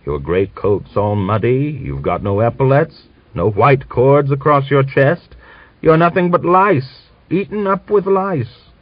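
A man voicing a pious, bitter old man of nearly a hundred, who scolds and preaches and reads scripture slowly and solemnly. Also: fairly low pitch, very animated, dry recording, voice pushed up, exaggerated voice. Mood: scolding. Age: older. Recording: dull dry recording.

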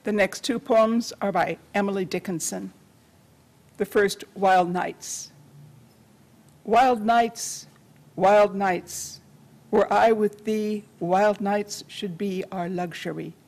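A woman's voice: medium pitch, steady tone, slow pace, a little mellow. An older woman reading poetry aloud at a podium microphone in a library room. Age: older, senior. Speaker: poetry reader. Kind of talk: poetry recitation. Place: library meeting room, indoors.

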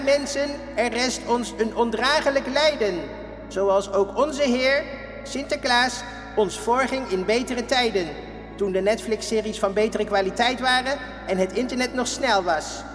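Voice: mid-range voice, close to an everyday voice. Echoey, grandiose puppet podcast host acted out. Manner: grandiose, complaining. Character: Jaap, brash indignant puppet boy podcast host. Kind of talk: comic sketch dialogue and mock sermon.

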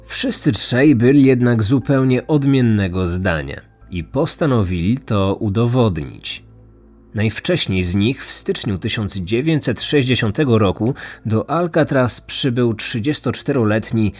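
A man's voice: medium pitch, expressive, measured pace, a little mellow. A man narrating a scripted true crime story as a podcast host. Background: background music.

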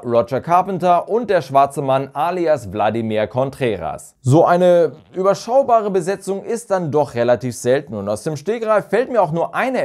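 A man in his thirties presenting a scripted review in measured, formal phrasing. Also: fairly high pitch, a little mellow. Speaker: reviewer, channel host. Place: small furnished room indoors.

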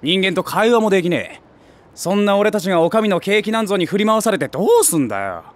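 An actor playing an ambitious, streetwise stray tomcat, a small-time gang big brother with brash, rough talk in a voice drama. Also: male voice, high voice, lively intonation, quick pace. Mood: exasperated. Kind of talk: Japanese voice drama acting.

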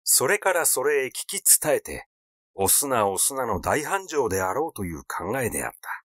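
Guy reading an audiobook story, really animated pitch, dry close sound. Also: moderate pace, no echo. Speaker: audiobook reader. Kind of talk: scripted literary narration, story reading.

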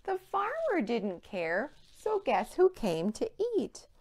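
An American woman in her thirties presenting a children's storytime, speaking clearly and animatedly in simple phrases to young children. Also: on the high side, huge pitch swings, slow pace. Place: indoor children's play room.

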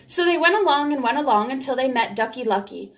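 A woman's voice: high-pitched, lively intonation, quick pace. A young adult woman reading a picture book aloud to children in a small room at home. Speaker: storybook reader. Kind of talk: children's read-aloud, scripted narration.

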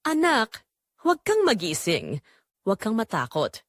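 A woman's voice: fairly high pitch, wildly swooping pitch, unhurried, no echo, studio quality, heavily acted. A woman playing a frightened, pleading mother who stands up to a stalker in an audio drama.

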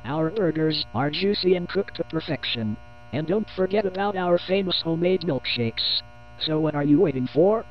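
A man's voice: fairly high pitch, animated, moderate pace, a little hiss or hum. A man reading a scripted local television commercial as its announcer. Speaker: advert announcer. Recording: dull lo-fi old TV advert.

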